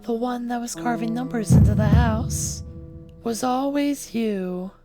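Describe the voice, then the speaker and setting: An eerie, coolly commanding woman played by a man speaks in a high, hushed falsetto. She is a cryptic visual novel figure, with music behind her. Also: a little slow. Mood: eerie, revealing.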